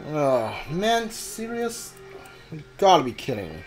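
Halting male game streamer. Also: fairly high pitch, very animated. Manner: halting, repeats words while thinking. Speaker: gaming streamer. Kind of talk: casual live playthrough commentary. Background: faint game music.

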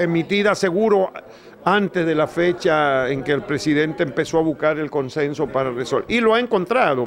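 A Dominican-accented man in his sixties giving a halting, self-correcting interview answer as a commentator. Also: on the high side. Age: 60s. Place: indoor book-lined room.

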